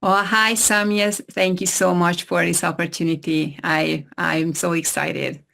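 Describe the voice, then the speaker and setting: Hungarian-accented woman, hesitant, low voice. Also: flat delivery, measured pace, vocal fry, fairly bright tone. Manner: hesitant, many restarts and fillers. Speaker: guest therapist. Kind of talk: interview conversation.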